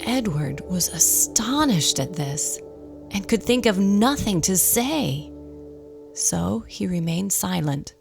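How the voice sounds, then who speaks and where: A woman's voice: medium pitch, animated, slow pace, vocal fry, music in the background. A woman narrating a radio drama in a scripted storybook style.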